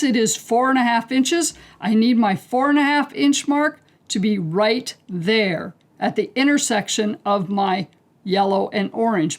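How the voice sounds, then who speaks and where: A woman teaching a quilting technique step by step, calm and unhurried, clearing her throat as she is losing her voice. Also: on the high side. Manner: calm, unhurried, talks through each step as she works. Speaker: quilting instructor. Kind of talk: step-by-step craft tutorial.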